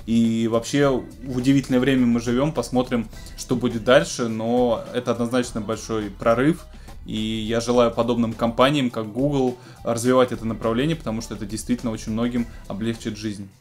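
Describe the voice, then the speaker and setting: Male host, thirties, mid-pitched, conversational explainer of music tech news, music underneath. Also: fairly even intonation, a little fast, darkish tone. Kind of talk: casual news presenting to camera. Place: small home music studio, indoors.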